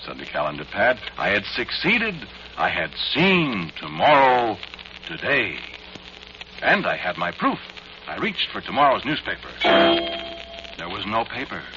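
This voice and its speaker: Brief: a sardonic drinking doctor narrating, deep and lively, 1940s radio hiss. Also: male voice. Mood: tense. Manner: dry, sardonic, measured. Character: wry male inventor doctor, drinking, wary. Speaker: narrator and lead character. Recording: dull lo-fi 1940s radio recording with loud hiss.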